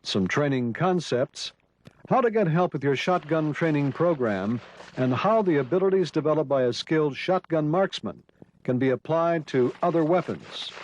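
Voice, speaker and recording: A man reading scripted narration for a training film. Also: fairly high pitch. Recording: noisy 1990s training film soundtrack.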